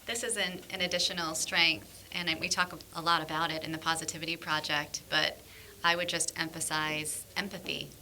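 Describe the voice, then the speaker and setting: A woman's voice: low-pitched, level tone, moderate pace, sharp tone, a little hiss or hum, low-quality recording. A woman, a former school principal, giving thoughtful, articulate answers as a guest in a podcast interview.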